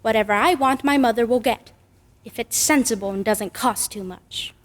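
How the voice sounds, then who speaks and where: A woman's voice: a little high, normal speed, close to an everyday voice. A woman playing a prim, matter-of-fact little schoolgirl in an old-time radio drama, with a reverberant sound. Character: prim, matter-of-fact little first or second grade girl.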